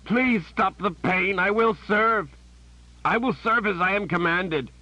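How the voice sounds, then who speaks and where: A man's voice: mid-range voice, a little slow, no echo, voice pushed up, close to an everyday voice, rough recording. A man voicing a young male prophet crying out in anguish.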